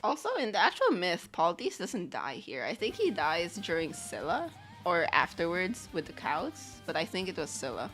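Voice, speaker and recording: A woman's voice: fairly low pitch, big pitch swings, normal speed, fairly bright tone, soft background music. A woman livestreaming as she draws, chatting casually in a rambling way and reading out questions from viewers. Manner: rambling, chatty, reads chat questions aloud. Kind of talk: casual livestream chat while drawing.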